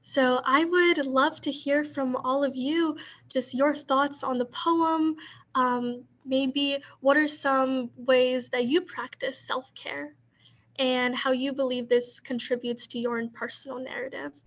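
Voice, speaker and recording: A woman co-curator hosting a poetry gathering on a video call, reading a poem in a measured way and then talking casually with a few ums. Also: high voice, fairly even intonation, fairly bright tone, highs cut off. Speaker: co-curator and discussion host. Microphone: video call computer mic.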